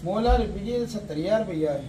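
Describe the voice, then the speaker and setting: A man's voice: sits high, expressive, fairly quick. A man with a Punjabi accent selling his cattle, answering eagerly with many repeated yeses. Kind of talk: casual sales talk. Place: open brick cattle shed. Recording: noisy, off-mic outdoors.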